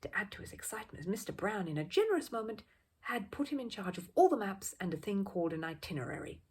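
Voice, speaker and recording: Husky, very animated woman storyteller. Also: medium pitch, quick pace. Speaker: children's story reader. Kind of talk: expressive storybook narration.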